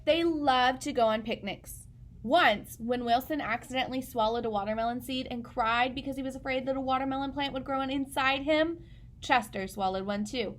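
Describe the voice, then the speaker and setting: A woman with a fairly high voice narrates a children's story. She reads expressively, as a storyteller addressing young listeners. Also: normal speed. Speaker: read-aloud storyteller.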